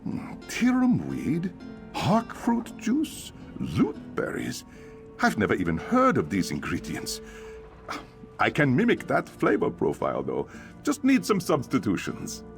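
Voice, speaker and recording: A talkative innkeeper man from a fantasy game. He muses aloud, half grumbling and half curious, in a gravelly voice that swings widely up and down. Faint music plays underneath. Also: medium pitch, a little slow, full character voice. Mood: curious, musing. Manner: expressive, musing aloud. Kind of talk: video game character acting.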